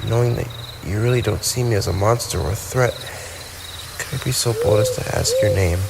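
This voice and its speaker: Man acting a low-voiced brooding vampire, night crickets behind. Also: a little fast, creaky, heavy background noise. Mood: shy, touched. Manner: wistful, formal, theatrical phrasing with sighs. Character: lonely, brooding tsundere male vampire, centuries old, wounded pride. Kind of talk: ASMR roleplay monologue addressed to the listener. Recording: night ambience with crickets and owls.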